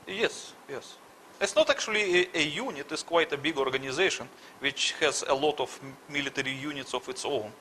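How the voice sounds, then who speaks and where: A middle-aged man from Russia with a Russian accent, answering interview questions haltingly and searching for words. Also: a little high, sharp tone, a little hiss or hum.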